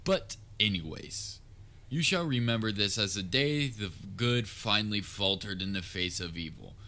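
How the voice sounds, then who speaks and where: A very low-voiced, theatrical supervillain played by a man with a crisp, forceful edge. He is pompous and dryly sarcastic, a grand nemesis from a comedic audio roleplay who secretly cares about his rival. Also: lively intonation, normal speed. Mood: gloating, grandiose. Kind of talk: scripted audio roleplay, comedic villain monologue.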